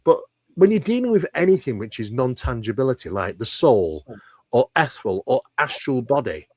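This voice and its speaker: Guy being interviewed, super expressive up and down voice, emphatic, asks rhetorical questions, dry sound. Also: medium pitch, no room sound. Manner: emphatic, rhetorical questions, repeats phrases for stress. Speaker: interview guest, paranormal researcher. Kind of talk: conversational explanation in an interview.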